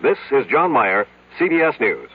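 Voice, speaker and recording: A man's voice: mid-range voice, sing-song, normal speed, husky. An American man reading scripted news as a 1970s radio reporter. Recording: dull old radio broadcast.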